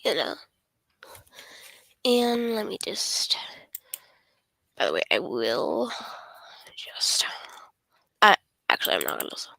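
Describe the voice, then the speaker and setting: Female game streamer, crisp bright tone with fry, breathy, hesitant rambling delivery, pitch swinging widely. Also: huge pitch swings, takes it slowly, long pauses, dry recording, whispered. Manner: hesitant, rambling, often trailing off and apologetic. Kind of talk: casual livestream chat.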